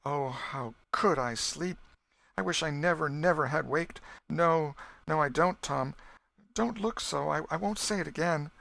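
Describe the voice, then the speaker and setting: A man's voice: fairly high pitch, a little slow, gravelly, pushed and bright, dry recording, pitched above the performer's own voice, heavily acted. A man reading an audiobook, voicing a frightened, weary, sorrowful young girl with a plaintive, faltering delivery. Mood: distressed. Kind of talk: audiobook character voice.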